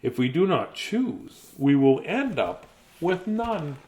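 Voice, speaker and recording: A man's voice: a little high, very animated, takes it slowly, a little mellow. A man reading a children's bedtime story aloud expressively, with playful, theatrical delivery and chatty, casual asides.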